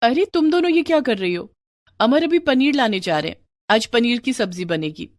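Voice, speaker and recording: A woman's voice: on the high side, animated, normal speed, dry recording, studio quality, lightly acted. Woman as a humble young daughter-in-law. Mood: concerned.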